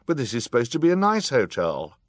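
A man voicing agitated, suspicious hotel guests who speak in loud, accusing outbursts in an audiobook. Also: medium pitch, wildly swooping pitch, brisk, dry recording, studio quality, voice pushed up.

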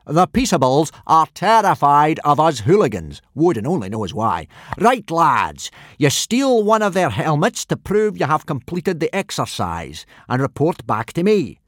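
A man puts on a bellowing Viking pirate teacher from a storybook, pitched up from his own voice and pushed loud. He drags his words out for weight, with a hearty, careless bluster. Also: huge pitch swings, unhurried, no echo, clean studio sound, exaggerated voice. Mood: booming. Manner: booming, bellowing.

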